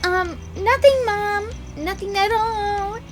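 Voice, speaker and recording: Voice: extremely high pitch, slow pace. A performer voicing a doting, protective teenage big sister, gushing and motherly, in a cartoon fan drama. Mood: sheepish. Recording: music under the voice.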